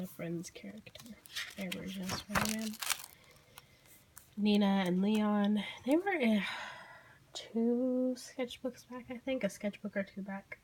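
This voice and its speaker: A teenage girl with a hoarse, croaky voice from being sick, casually and apologetically flipping through her sketchbook on camera. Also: medium pitch, background noise. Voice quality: croaky, losing voice. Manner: apologetic, rambling with fillers and small laughs. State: hoarse from illness. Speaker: vlogger. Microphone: handheld camera mic.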